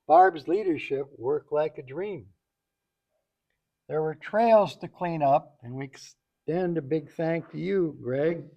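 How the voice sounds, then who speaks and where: A man's voice: fairly high pitch, slow, dull audio. A man reading a rhyming tribute poem aloud to a group at a banquet, stumbling now and then over his words. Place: banquet event, speaking to a group. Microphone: event microphone.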